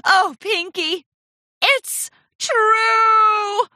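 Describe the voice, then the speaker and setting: A woman's voice: very high voice, slow pace, bright, forceful tone, no room sound, studio quality, voice pushed up, heavily acted. A woman voicing a vain, theatrical fashionista pony for a children's storybook, with refined, affected and easily wounded airs. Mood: despairing, dramatic.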